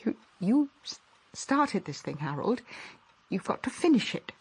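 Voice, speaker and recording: A lively, slightly husky voice from a worried wife. She swings between firm instructions and stammering concern. It is a radio drama performance. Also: medium pitch, measured pace, full character voice, low-quality recording. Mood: coaxing. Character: anxious, practical wife on the phone.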